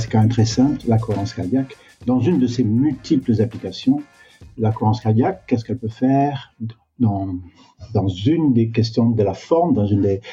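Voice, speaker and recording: An older man, a retired doctor, answering interview questions in a slow, reflective way with many hesitations. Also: fairly low pitch, mellow. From Canada. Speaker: guest, doctor. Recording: dull, lo-fi sound.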